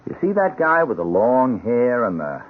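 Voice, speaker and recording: A man's voice: mid-range voice, sing-song, moderate pace, a little hiss or hum, natural voice. An actor playing an easygoing, loyal policeman in a radio drama, casual and reassuring. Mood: casual, confiding. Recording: thin, phone-like old radio broadcast.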